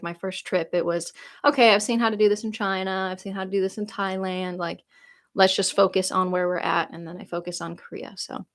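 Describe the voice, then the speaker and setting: A woman's voice: on the low side, a bit bright. Chatty young woman rambling on a live stream. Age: 20s. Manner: chatty, rambling, lots of "like" fillers and restarts; laughs easily while reading comments aloud. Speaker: YouTuber answering viewer questions. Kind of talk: casual live-stream Q&A chat.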